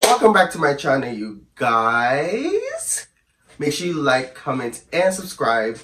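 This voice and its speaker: Hoarse man chatting sassily in a roomy room. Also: fairly high pitch, huge pitch swings, slow, a bit bright, recorded from a distance, faint music behind. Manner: chatty, sassy, calls viewers "girl", loose rambling retelling. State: hoarse from flu. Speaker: storyteller vlogger. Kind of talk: casual storytime chat to camera.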